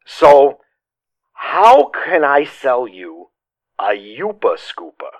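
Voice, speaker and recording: A man doing a blustering caller who fancies himself an entrepreneur, rambling and pushy. His voice is a bit high, with lots of gravelly fry, and it swoops up and down as he pitches. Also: huge pitch swings, drawn-out words, full character voice. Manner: rambling, pitchy, self-assured. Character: blustering male caller, bumbling self-styled entrepreneur. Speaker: comedy caller. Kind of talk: improvised comedy podcast.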